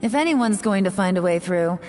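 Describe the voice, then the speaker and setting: A woman voicing a secretive female spy who speaks in a cool, dry, unhurried way. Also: mid-range voice, animated, darkish tone, natural voice. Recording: game audio with music. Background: game music.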